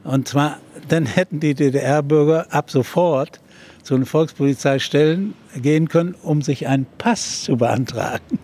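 An older man, a journalist and TV presenter, recounting a historical event in an interview with many pauses and filler sounds. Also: on the high side, a little mellow. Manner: hesitant storytelling with many fillers.

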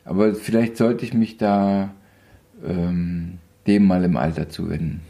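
A man's voice: low voice, unhurried, gravelly. A man in his fifties, a photographer being interviewed, talking casually with wry humor and many hesitations. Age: 50s. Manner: relaxed, rambling storyteller with wry humor and frequent hesitations. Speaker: photographer guest.

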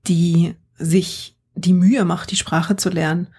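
A woman's voice: on the low side, little pitch movement, slow pace, warm tone, breathy edge. A woman co-hosting a casual history podcast, talking thoughtfully and reflectively with frequent hesitations. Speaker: podcast co-host. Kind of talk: casual discussion.

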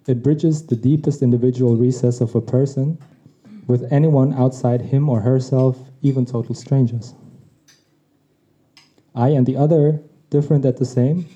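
A man whose mother tongue is Tagalog, reading a personal essay on his podcast in a reflective, measured way. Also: mid-range voice, steady tone, warm tone. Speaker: podcast host. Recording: dull lo-fi recording.

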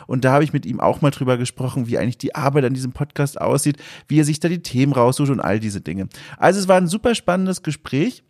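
A man's voice: medium pitch, lively intonation, fast, hardly any pauses, darkish tone. A man in his thirties from West Germany, a podcast host introducing his guest in a chatty, rambling way with many asides. He has a cold and sounds congested. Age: 30s. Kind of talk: casual podcast introduction and interview.

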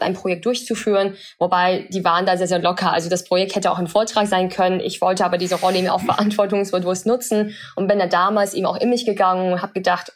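A young woman from China, a podcast guest, explaining her work thoughtfully with many filler sounds. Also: mid-range voice. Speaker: podcast guest, social entrepreneur. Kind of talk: casual interview talk.

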